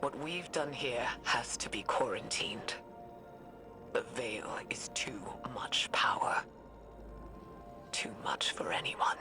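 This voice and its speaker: Old woman scientist, tired and grieving, slow whispery log entry, game music behind. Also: very deep voice, animated, exaggerated voice. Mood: grim, resolute. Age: older. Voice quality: breathy, whispery, frail. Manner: slow, weary, solemn. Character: weary old woman scientist, guilt-ridden, grieving, resolute. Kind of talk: dramatic recorded log monologue.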